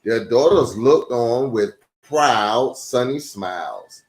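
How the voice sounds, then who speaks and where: A man hosting a children's storytime, reading aloud in an expressive style and speaking directly to his young listeners. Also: medium pitch, slow pace. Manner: addresses young listeners directly with rhetorical questions and exclamations. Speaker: storytime host and pastor reading a children's book. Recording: roomy echo with music underneath.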